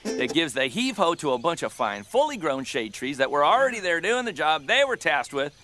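An actor playing a jocular 1930s hitchhiking troubadour, rambling and wisecracking in folksy talking-blues style for radio drama. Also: male voice, fairly high pitch, big pitch swings, fairly quick. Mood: wry, spirited. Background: acoustic guitar.

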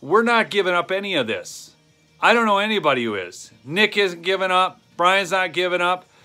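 A man's voice: sits high, expressive, moderate pace, sharp tone, faint music behind. A man in his thirties with a Minnesotan accent, hosting a casual live stream from a wooden shed, rambling with many ums and addressing viewers directly. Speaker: channel host. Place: small wooden shed or garage, indoors. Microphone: phone camera held close.

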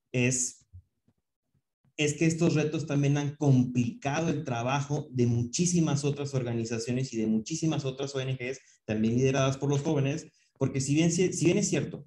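A man's voice: medium pitch, warm tone. A man of twenty-seven, speaking thoughtfully as a webinar panelist on a video call, often restarting words. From northern Mexico. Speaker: panelist, founder of a youth organization. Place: indoor office.

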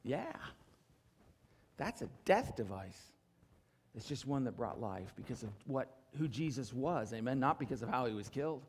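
A middle-aged male pastor preaching a sermon from a church stage. He swings between loud, emphatic declarations and quiet, low asides, and asks the congregation for assent.

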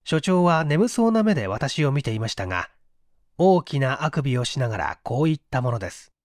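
A man narrating a mystery story as an audiobook reader, in an expressive, measured storytelling manner on a close microphone. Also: mid-range voice, no room sound. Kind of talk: scripted literary narration, mystery story reading.

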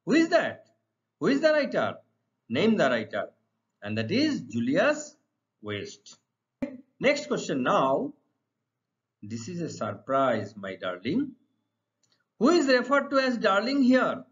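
A middle-aged man with an Indian accent, teaching English to students in deliberate, emphatic, repetitive phrases. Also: high-pitched, wildly swooping pitch. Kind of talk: exam lesson explained to students. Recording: dull, lo-fi sound.

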